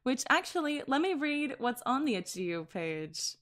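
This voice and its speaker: A woman's voice: high-pitched, sing-song, normal speed, a bit bright. A woman streaming casually to her chat, giggling often and correcting herself mid-sentence. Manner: giggly, chatty, laughs often between sentences. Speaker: VTuber streamer.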